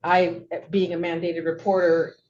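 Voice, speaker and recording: A woman's voice: lowish voice, little pitch movement, unhurried, darkish tone. A middle-aged woman giving courtroom testimony, hesitant and wordy, often correcting herself as she goes. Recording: dull, noisy courtroom audio.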